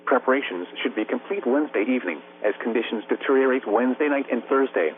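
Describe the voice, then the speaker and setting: A man's synthetic computer voice reading a scripted weather bulletin as a radio announcer, formal and measured. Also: mid-range voice. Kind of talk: scripted weather bulletin read by text-to-speech. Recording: noisy narrowband weather radio broadcast, humming. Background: radio hum and static.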